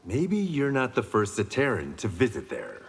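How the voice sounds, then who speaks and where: An actor playing a stern, honorable reformed-gangster swordsman in a video game, speaking in a measured, terse, resolute way. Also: fairly low pitch, wildly swooping pitch, creaky, slightly rough, exaggerated voice. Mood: earnest. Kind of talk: video game fight banter. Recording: reverberant hall, faint background noise.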